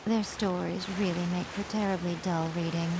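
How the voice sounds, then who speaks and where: A woman playing an aloof, disdainful game character who looks down on humans, speaking in a measured, bored manner. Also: fairly low pitch, steady tone, noisy background, natural voice. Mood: haughty boredom. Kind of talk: video game character dialogue.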